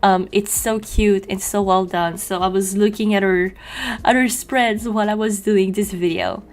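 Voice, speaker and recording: A woman's voice: mid-range voice, steady tone, moderate pace, soft background music. Chatty young woman with a Quebec French accent. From Quebec, Canada. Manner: chatty and friendly, frequent ums and you-knows. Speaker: journaling channel host. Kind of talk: casual voice-over narration while drawing.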